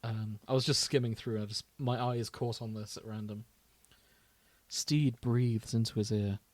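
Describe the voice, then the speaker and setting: A man's voice: fairly low pitch, steady tone, normal speed, darkish tone, no echo. A man co-hosting a casual podcast, bantering and reading fan fiction aloud in a jokey way, often laughing. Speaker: podcast co-host.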